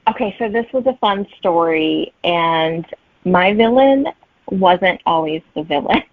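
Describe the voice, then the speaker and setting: A woman's voice: lowish voice, expressive, a little slow, no room sound, narrow, tinny audio. A woman chatting casually as an author being interviewed, heard over a thin remote connection.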